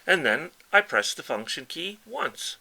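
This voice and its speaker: A man's voice: a little high, big pitch swings, measured pace, vocal fry, bright, forceful tone, a little hiss or hum, low-quality recording. A man presenting a casual step-by-step tutorial, explaining patiently in short, simple steps as an instructor. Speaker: instructor and presenter demonstrating a ham radio keyer.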